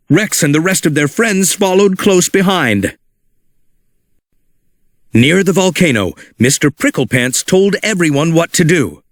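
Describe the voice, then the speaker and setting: Male read-along narrator, lively and unhurried, dry close studio sound. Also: fairly high pitch, no room sound. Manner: animated, expressive reading for children. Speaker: storybook narrator.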